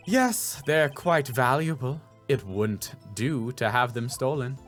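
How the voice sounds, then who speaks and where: A man voicing a cool, haughty, evasive woman on a witness stand in a video game, measured and condescending, over music. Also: mid-range voice, lively intonation.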